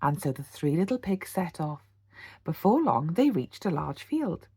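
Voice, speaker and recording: A British woman, a teacher, reading a children's storybook aloud in an animated bedtime-story style. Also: on the low side, a little slow, husky, no room sound, studio quality. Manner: animated, with gasps and sound words.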